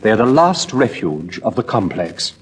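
A man's voice: fairly low pitch, sing-song, moderate pace, breathy edge. A man voicing a languid, witty aristocrat in a storybook reading, drawling wryly.

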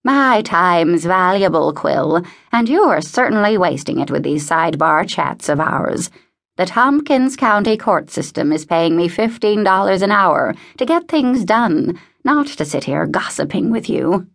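A woman's voice: on the low side, lively intonation, unhurried, creaky. A woman voicing a crotchety, bossy old woman in a novel, speaking crossly, firmly and with emphasis.

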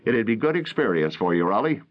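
A man's voice: fairly low pitch, sing-song, brisk, muffled recording, pitched above the performer's own voice. A man voicing a skeptical older detective boss who is dismissive and snorting.

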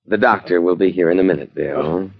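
Low, husky treacherous brother. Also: male voice, fairly quick, full character voice. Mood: falsely calm. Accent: American. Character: treacherous embezzling brother feigning calm concern. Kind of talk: old-time radio crime drama acting. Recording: muffled vintage radio broadcast recording.

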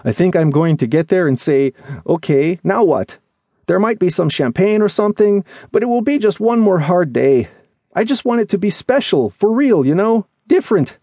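A man voicing an excitable, weary and earnest male hiker friend in dramatic, pleading audiobook dialogue. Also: sits high, normal speed, husky, dry recording, pitched above the performer's own voice, heavily acted. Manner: exclamatory, pleading, questioning. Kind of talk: acted dialogue in audiobook memoir.

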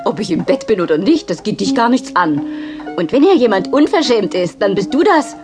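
A woman playing a feisty, unafraid seller of dreams in a German children's audio drama, brisk, teasing and bossy. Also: medium pitch, very animated, over music, lightly acted. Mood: indignant.

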